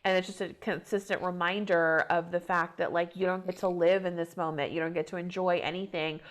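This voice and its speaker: A woman's voice: on the low side, a little fast. A woman in her thirties, a guest author and activist, speaking earnestly in a reflective podcast interview with frequent fillers. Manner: earnest, animated when excited, many fillers.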